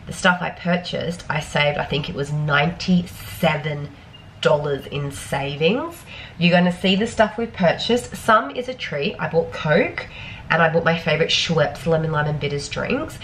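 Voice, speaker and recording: An Australian woman in her thirties talks through her week in a relaxed, rambling way, with plenty of ums along the way. Her voice sits low, and she sounds sniffly, as if she is losing her voice. A faint hum sits behind her in a home kitchen. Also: moderate pace. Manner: chatty, rambling, frequent ums. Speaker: vlogger. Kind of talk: casual grocery haul vlog.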